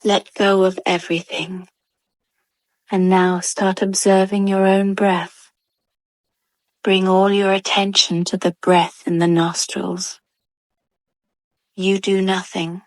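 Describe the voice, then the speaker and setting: A woman's voice: mid-range voice, no room sound. A woman narrating a guided meditation in a soothing, instructional way.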